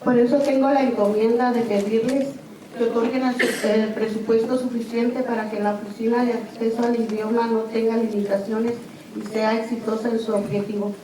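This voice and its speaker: A woman's voice: fairly high pitch, flat delivery, moderate pace. A woman resident giving earnest prepared testimony at a city budget hearing. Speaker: resident giving public comment. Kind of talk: formal prepared public testimony. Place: public meeting hall. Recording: very echoey hall, distant mic, crowd.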